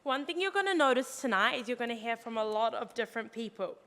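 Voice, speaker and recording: Bright high-voiced young New Zealand woman. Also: lively intonation, fast talker, big-room sound. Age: young adult. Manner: upbeat and encouraging. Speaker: student presenter. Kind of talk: presenting to an audience.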